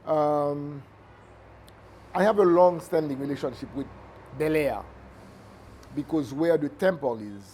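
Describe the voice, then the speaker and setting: A middle-aged man with a Haitian accent giving reflective interview answers as a Vodou priest, measured and halting as he searches for words. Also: on the high side, darkish tone. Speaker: Vodou priest and cultural entrepreneur. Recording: noticeable background ambient noise.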